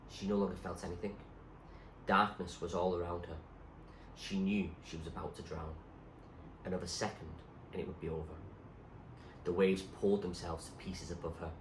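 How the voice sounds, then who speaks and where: A man reading a story aloud in an expressive, suspenseful narrating style. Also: low-pitched. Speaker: reader, teacher. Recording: echoey room with faint background noise.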